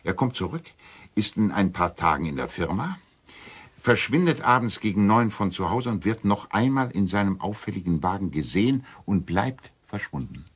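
A man's voice: lowish voice, very animated, moderate pace, husky. An actor playing a pedantic, skeptical, dryly sarcastic male amateur sleuth in a German crime radio drama. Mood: matter-of-fact.

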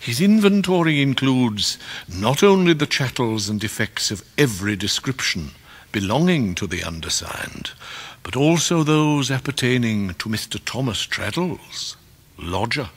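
An actor playing a pompous, theatrical English gentleman in debt, speaking in florid, orotund phrases in a radio drama. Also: medium pitch, expressive, unhurried, slightly rough. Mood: gloomy, grandiose. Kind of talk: 1990s British radio drama acting.

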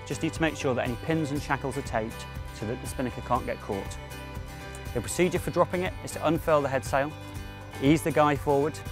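A young man giving instructional explanations to camera as a sailing skipper, outdoors on a yacht. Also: medium pitch. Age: young adult. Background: music.

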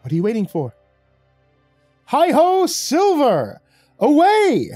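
A man's voice: high voice, huge pitch swings, mellow, breathy edge, no room sound, soft background music, pitched above the performer's own voice, heavily acted. A man voicing a gleeful, theatrical devil lord in a horror story, mocking and drawing out his words. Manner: mocking, drawn-out, exclamatory.

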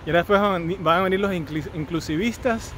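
A Cuban man in his thirties walks a neighborhood street and talks to his phone on a selfie stick, chatty and quick with joking asides. His voice sits a little high for a man. Traffic and outdoor sounds run clearly behind him. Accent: Cuban Spanish. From Cuba. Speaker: vlogger. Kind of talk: casual walking vlog. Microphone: phone on a selfie stick at arm's length. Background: street traffic and outdoor ambience.

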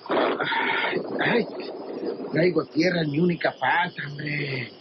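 An actor playing a grumbling, weary peg-legged sidekick in a radio drama, whiny and sighing, with a Mexican accent. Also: male voice, fairly high pitch, slow pace. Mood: annoyed. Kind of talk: radionovela acting. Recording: muffled, noisy old radio drama.

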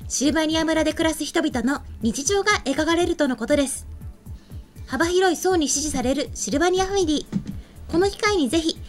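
A young woman presenting as an MC in a polite, formal manner at a desk microphone in a small studio. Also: high voice. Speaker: show MC. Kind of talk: scripted presenting and co-host chat. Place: small indoor studio set. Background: faint music.

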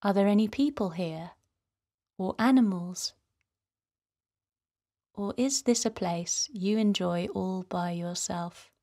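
A woman narrates a guided relaxation for children at a very unhurried pace. Her delivery is gentle and soothing, with a soft breathiness. She sits at a middle pitch and addresses the young listener directly. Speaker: children's guided meditation host.

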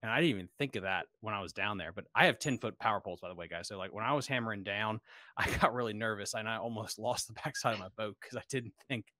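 A man's voice: lowish voice, fast, pushed and bright, no room sound. A man in his thirties hosting a casual group podcast chat, talking into a close desk microphone. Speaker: podcast host.

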